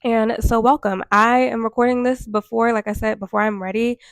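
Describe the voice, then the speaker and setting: A woman's voice: fairly high pitch, steady tone, brisk, dry recording. A woman in her twenties, nasal and tired from a cold, chatting earnestly to camera in a casual vlog with many fillers. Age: 20s. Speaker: vlogger. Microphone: microphone.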